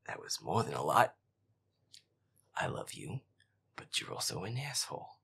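A man muttering sarcastic, sweary gameplay commentary in a breathy, casual way, close to the mic. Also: deep voice, wildly swooping pitch, normal speed, a bit bright. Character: feisty werewolf boyfriend playing a scary game. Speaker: gamer playing a horror game.

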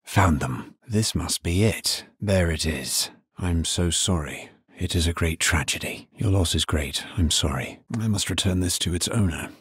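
An actor playing a grim, dutiful necromancer adventurer in a video game, with a grave, measured, terse delivery and a husky voice. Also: male voice, low voice, fairly bright tone, no room sound, studio quality, exaggerated voice. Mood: resolute, then sorrowful. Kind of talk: video game character voice lines.

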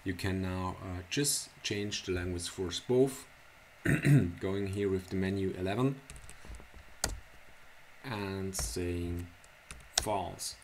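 A man in his thirties from Switzerland, a native Swiss German speaker, walking through a software setup in an unhurried, halting way with many fillers and restarts. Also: deep voice, a little hiss or hum. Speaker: software tutorial presenter. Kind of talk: casual screencast tutorial. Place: small indoor room at a computer.

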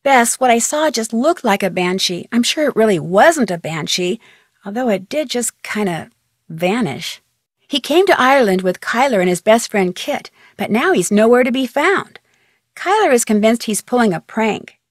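A woman's voice: a little high, big pitch swings, normal speed, no echo, studio quality. An actress playing a polite, inquisitive young American woman detective in a video game, friendly and matter-of-fact. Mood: earnest, puzzled. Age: young adult. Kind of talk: video game voice acting, phone dialogue.

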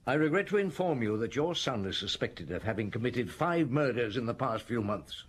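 A police chief inspector in a radio drama. He questions people politely and persistently, in a measured manner, with a mid-pitched voice that moves animatedly up and down. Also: big pitch swings, breathy edge. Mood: firm, formal. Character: courteous, probing male police chief inspector.

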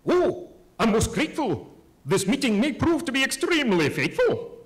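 A man's voice: very high voice, huge pitch swings, normal speed, exaggerated voice. A man playing a grandiose, self-important poet who declaims in rhyme in a theatrical comic reading. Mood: grateful, flattered. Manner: pompous, declamatory, rhyming.

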